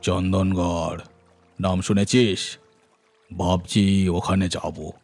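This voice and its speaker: A low, flat-toned bandit boss, acted by a man for a cartoon horror tale. He is grim and authoritative, weighing each phrase slowly. Music plays behind him. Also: heavily acted. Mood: brooding. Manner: measured, authoritative. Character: grim, brooding middle-aged bandit gang chief, commanding. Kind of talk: animated horror story dialogue.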